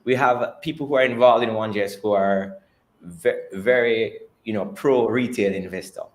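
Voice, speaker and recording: A man's voice: fairly low pitch, normal speed. A man with a Jamaican accent speaking as a company executive on an earnings call, conversational, often repeating and rephrasing his words. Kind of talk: earnings call presentation and answers.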